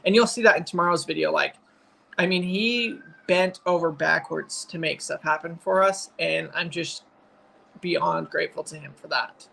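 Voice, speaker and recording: A man in his twenties hosts a casual family livestream. His voice is bright with noticeable creak. He talks in an informal, slightly rambling way, as if to regular followers. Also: a little high, normal speed, a little hiss or hum, low-quality recording. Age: 20s. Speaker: family vlog host.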